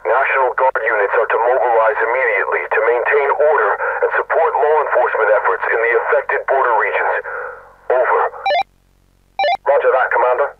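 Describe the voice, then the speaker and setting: A man's voice: medium pitch, brisk, no room sound, recorded from a distance. Man acting a tinny radio National Guard soldier. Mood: urgent, businesslike. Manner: clipped, procedural. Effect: military radio filter. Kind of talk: military radio dialogue. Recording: narrow tinny radio transmission.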